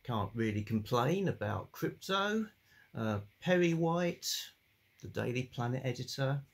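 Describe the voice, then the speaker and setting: An older man hosting a relaxed, rambling chat about old books from his home study, with a calm delivery and many fillers. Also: mid-range voice, a little slow, creaky. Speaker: book channel host.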